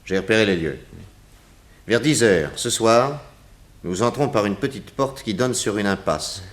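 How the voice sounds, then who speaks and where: Male detective lead, sixties radio drama: lowish, very lively intonation, commanding and sharp-witted. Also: measured pace. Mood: calm, planning. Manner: authoritative, incisive questioning. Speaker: actor in a radio detective serial. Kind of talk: 1960s French radio drama acting.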